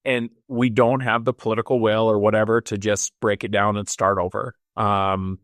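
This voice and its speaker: A man's voice: fairly low pitch, flat delivery, normal speed, a bit bright, no echo, clean studio sound. A man of fifty co-hosting a talk show, agreeable and chatty with frequent fillers. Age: 50s. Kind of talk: casual political chat.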